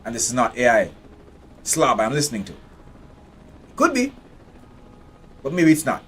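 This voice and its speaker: A man's voice: mid-range voice, huge pitch swings, unhurried, fairly bright tone, breathy edge. A man from Jamaica, giving blunt, profane live commentary while streaming a game.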